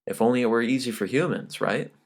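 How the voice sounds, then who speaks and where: A man reading an audiobook, playing a smooth-talking, chatty male record label man with a glib sales manner. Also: medium pitch, quick pace, natural voice. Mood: wry, breezy. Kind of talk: audiobook character dialogue.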